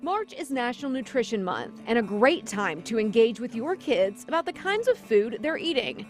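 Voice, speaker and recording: A woman's voice: fairly high pitch, sing-song, normal speed. An American woman narrating a scripted health segment as a reporter. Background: background music.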